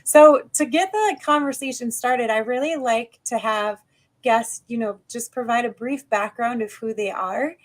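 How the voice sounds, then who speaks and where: A woman's voice: fairly high pitch, a little slow. An American woman hosting a live interview over a video call, upbeat and chatty as she greets the guest and the viewers. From United States. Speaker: podcast host.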